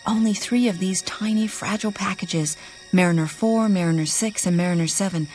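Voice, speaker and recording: A woman's voice: medium pitch, a little fast, a little mellow, a little hiss or hum, lightly acted. A woman playing a self-possessed young student, narrating a radio drama in the first person. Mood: grave, factual. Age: young adult. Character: twenty-one-year-old female geology student, self-possessed narrator.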